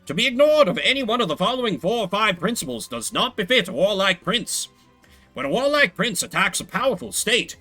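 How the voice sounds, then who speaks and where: Commanding orator of old war wisdom, played by a man: raised, animated pitch, formal dramatic declamation. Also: husky, exaggerated voice, low-quality recording. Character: grand, commanding narrator of ancient war treatise.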